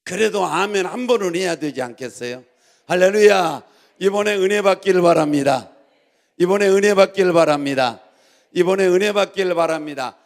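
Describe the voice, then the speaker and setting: A male pastor preaching a church sermon in a gravelly voice, exhorting and repeating himself as he prompts the congregation to respond. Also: high-pitched, moderate pace.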